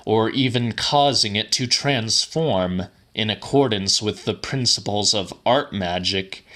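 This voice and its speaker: A man with a lowish, bright and forceful voice reads literary fiction as a narrator. His delivery is very slow and deliberate, with theatrical emphasis throughout his first-person telling. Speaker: audiobook narrator.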